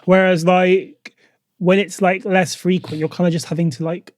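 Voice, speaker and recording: A podcast host with a high voice for a man, chatting in a relaxed, agreeable way. His stories sound dry and close to the microphone, with no room echo. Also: normal speed. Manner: conversational storyteller, agreeable, chatty. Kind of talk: casual chat.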